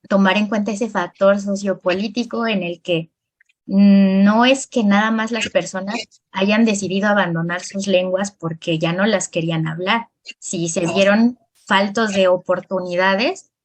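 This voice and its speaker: A young adult woman hosts a conversational podcast, speaking at an ordinary middle pitch. She lays out ideas at length, pausing on hesitations and restarting her sentences as she works through her thoughts. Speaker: podcast host. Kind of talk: conversational explanation.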